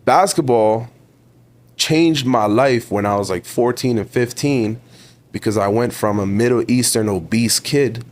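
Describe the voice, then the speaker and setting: A man's voice: mid-range voice, measured pace. A man in his twenties from Ontario with a Toronto accent, a podcast guest talking in an emphatic, motivational way, repeating words for stress and calling the host bro. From Ontario, Canada. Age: 20s. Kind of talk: casual interview talk.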